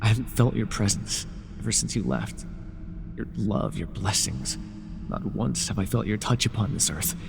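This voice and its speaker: A man performs a fallen god living as a mortal in a fantasy audio drama. His voice is gravelly and fairly low, earnest, wounded and reproachful. It rings in a long hall-like reverb. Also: lively intonation, normal speed. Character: grieving, devoted fallen god in mortal form. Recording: reverberant hall effect, faint noise.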